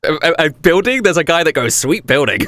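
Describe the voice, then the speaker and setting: A man's voice: on the high side, fairly quick, no room sound. A man acts as game master and host of a tabletop session. His voice sits a bit above average and swings about a lot. He banters casually and giggles easily. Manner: giggly, animated.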